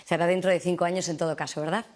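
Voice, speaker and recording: Tv interviewer lady, lowish voice, chatty long questions. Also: level tone, racing. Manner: chatty, winding questions with hesitations. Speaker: TV interviewer host.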